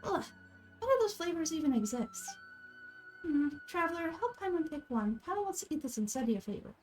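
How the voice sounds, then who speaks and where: Woman voicing a squeaky, excitable little childlike companion. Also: brisk, distant mic, soft background music, low-quality recording. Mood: eager, grossed out. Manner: whiny, exclaiming, refers to self in third person. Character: small excitable childlike floating companion, female.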